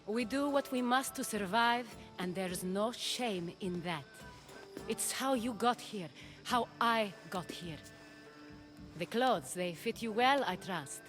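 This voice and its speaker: A shrewd, discreet hostess of ancient Greece in a video game. She is measured and polished, at a medium pitch, over faint music. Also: animated, a bit bright. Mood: discreet, knowing. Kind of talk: video game dialogue acting.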